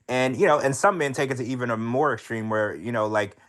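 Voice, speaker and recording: A man's voice: mid-range voice, a little fast, a bit bright. A man co-hosting a casual podcast chat, talking bluntly with plenty of swearing and strong opinions. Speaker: podcast co-host.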